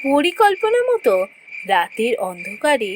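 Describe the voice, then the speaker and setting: A woman's voice: high-pitched, sing-song, unhurried, slight background noise. A woman narrating a fairy tale as a scripted cartoon storyteller.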